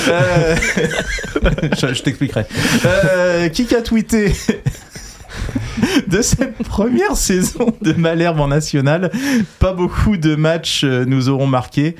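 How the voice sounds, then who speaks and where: A man's voice: on the high side, expressive, slow pace, slight background noise. A man hosting a casual quiz segment on a talk show, bantering and teasing as he puts questions and quotes to the panel. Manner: teasing, joking.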